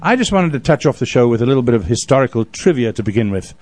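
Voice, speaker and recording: A man chats as a radio host in a dry studio. His delivery is casual and folksy, with a joking streak. His pitch sits in the middle for a man. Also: big pitch swings, fast talker, darkish tone, no echo. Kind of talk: casual chat, live radio hosting.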